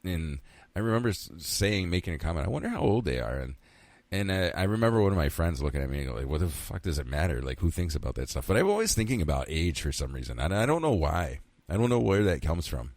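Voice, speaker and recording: Low-voiced man in his fifties rambling. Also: big pitch swings, fast talker, a little mellow, dry recording. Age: 50s. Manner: rambling, self-talking, frequent swearing. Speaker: podcast host. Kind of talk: casual solo podcast monologue.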